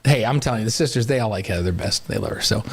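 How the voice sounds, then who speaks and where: A man's voice: lowish voice, rapid-fire, breathy edge. A man co-hosting a casual sports show, talking in an enthusiastic, complimentary way.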